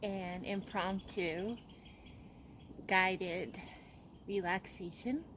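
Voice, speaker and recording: A woman leads a guided relaxation as a yoga teacher. She speaks very slowly and gently, leaving soothing pauses between instructions. Her mid-pitched voice sits over faint background noise.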